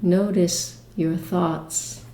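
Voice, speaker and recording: A woman leading a guided meditation with imagery, speaking in a gentle, soothing manner with long pauses. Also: on the low side, steady tone, echoey room, rough recording.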